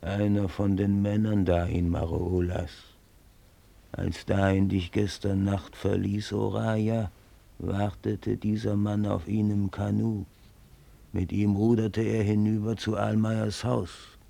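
Sly one-eyed retainer, radio play. Very gravelly, slow, solemn and archaic in phrasing. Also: male voice, fairly low pitch, level tone, dark tone. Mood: grave, conspiratorial. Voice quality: gravelly, husky. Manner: measured, ceremonious, archaic storytelling. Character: sly one-eyed male servant reporting to his raja. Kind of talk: solemn German radio drama acting.

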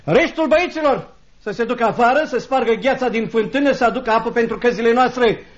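An actor playing a cruel, gloating schoolmaster in a theatrical radio drama, pompous and bullying with a mock-jovial manner. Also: male voice, extremely high pitch. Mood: sneering, officious. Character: cruel, gloating schoolmaster tyrant, a grown man. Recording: dull lo-fi radio play recording.

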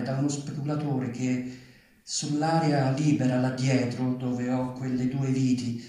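A man speaking and reading at a live literary event, with a halting delivery. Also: on the high side, warm tone. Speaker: writer reading at a public event. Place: large reverberant venue, live event. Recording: very echoey hall, distant from microphone.

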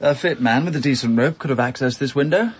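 Male actor, doctor, husky, matter-of-fact. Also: medium pitch, fast talker, natural voice. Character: dry, cautious police doctor. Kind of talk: radio drama acting.

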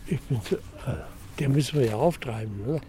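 An excitable man, a local resident, firing off questions in a spontaneous street conversation. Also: medium pitch, fast talker, a crowd in the background. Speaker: local resident being interviewed. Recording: busy voices in background.

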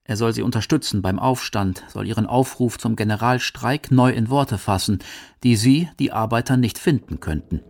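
A man's voice: medium pitch, moderate pace, vocal fry, a little mellow. A man reading scripted narration for a radio documentary.

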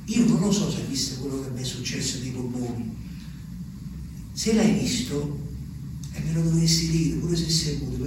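An actor playing a simple, haunted olive farmer, telling his story haltingly and intimately in a radio drama monologue. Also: fairly high pitch, noisy background, lightly acted. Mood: subdued, moved. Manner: halting, repetitive, intimate storytelling addressed to a listener. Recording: echoey, distant, music under the voice. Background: music.

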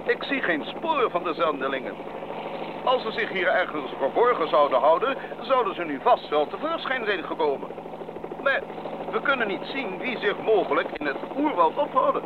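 An actor playing a decisive, concerned mission doctor in a Dutch radio drama. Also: male voice, sits high, big pitch swings, normal speed. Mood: urgent, grave. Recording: dull recording with clear background noise.

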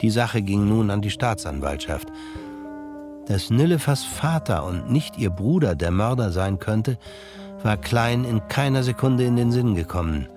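Low-voiced male narrator over music. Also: lively intonation, a little slow. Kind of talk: scripted audio drama narration.

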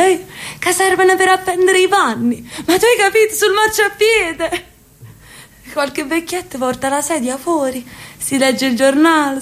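An actress playing an elderly sister from Naples in a radio drama, telling a street story with lively, affectionate chatter. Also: female voice, high-pitched. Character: elderly Neapolitan sister, talkative, loves her city.

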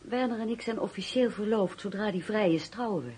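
Radio play fiancée, young woman: earnest, indignant, emphatic, medium pitch. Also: fairly quick, darkish tone, husky. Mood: amused, frank. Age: young adult. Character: loyal, indignant young fiancée of an accused policeman. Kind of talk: radio drama acting. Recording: noisy radio play recording.

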